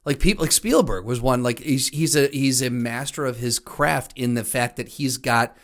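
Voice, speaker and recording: A male podcast co-host chatting casually at a middling pitch. He sounds exasperated and swears freely as he vents, circling back over his phrases and stressing them as he goes. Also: normal speed. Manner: profane, exasperated venting. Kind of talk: casual chat about movies.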